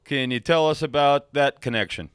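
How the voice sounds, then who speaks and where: A man hosting a radio show, talking briskly and to the point. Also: medium pitch, clear, resonant tone, no room sound, clean studio sound. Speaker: radio host.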